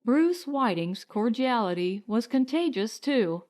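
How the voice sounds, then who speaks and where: A woman narrating a short story as an audiobook, in a measured, deliberate storytelling manner. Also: a little high, big pitch swings, a little mellow.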